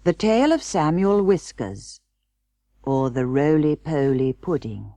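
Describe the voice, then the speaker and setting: A woman narrating a children's storybook in a measured, scripted storytelling style. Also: low voice, sing-song, warm tone. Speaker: audiobook narrator.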